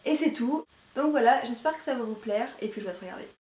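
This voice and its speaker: A woman's voice: a little high, lively intonation, fast talker, a little mellow. Chatty woman in an echoey room. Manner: self-conscious, careful about pronunciation. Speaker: beauty vlogger presenting a hair tutorial. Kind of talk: casual chatty tutorial to camera. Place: indoor room at home. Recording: roomy echo, faint background noise.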